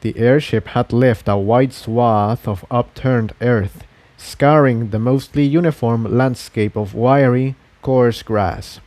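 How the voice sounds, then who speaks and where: A man's voice: mid-range voice, darkish tone, dry recording. A man reading a scripted adventure story aloud as its narrator. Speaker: fan fiction narrator.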